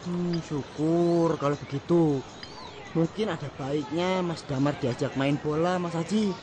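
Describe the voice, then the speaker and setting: A man's voice: fairly high pitch, fairly even intonation, measured pace, close to an everyday voice. A man playing a polite stall keeper. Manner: polite, deferential. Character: polite young male food stall keeper Joko.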